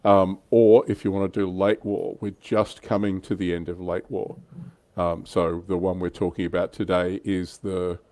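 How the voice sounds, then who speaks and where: A man's voice: low voice, level tone, moderate pace, mellow, no echo. A man hosting a casual livestream chat about tabletop wargaming in an informal, conversational way. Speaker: livestream host.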